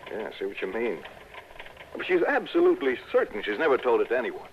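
Man playing excitable, folksy insurance agent. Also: mid-range voice, fast talker, natural voice. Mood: defensive, puzzled. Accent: American. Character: fretful, folksy male insurance company agent, earnest and excitable. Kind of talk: conversational 1960s American radio drama acting. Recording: dull, noisy vintage radio recording.

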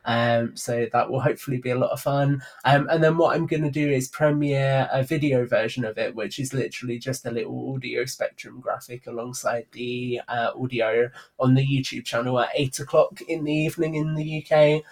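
A man's voice: mid-range voice, fairly even intonation, brisk, hardly any pauses. A man talking casually as a guest on a podcast about his creative work. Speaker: podcast guest, audio drama writer and producer.

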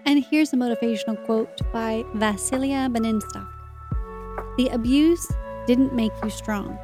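A woman's voice: on the high side, unhurried, over music. A woman hosting a podcast, enthusiastic and encouraging as she thanks her guest and lists takeaways. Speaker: podcast host. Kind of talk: podcast chat and summary.

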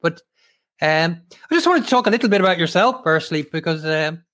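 A man hosting an interview over a video call, measured and formal with many hesitations. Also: fairly high pitch, expressive, fairly bright tone. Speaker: host.

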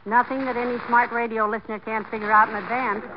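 Woman playing a high-voiced sensible wife. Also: flat delivery, natural voice. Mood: wry, chiding. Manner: brisk, gently chiding, motherly. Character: sensible, wry, patient middle-aged wife. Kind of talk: 1940s radio comedy acting. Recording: dull, noisy 1940s radio recording.

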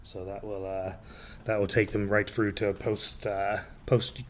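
A man with a fairly low voice talks football in a relaxed, chatty way, laughing easily. He co-hosts the show, with a faint hiss of noise behind him. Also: unhurried. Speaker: podcast co-host. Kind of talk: casual football chat.

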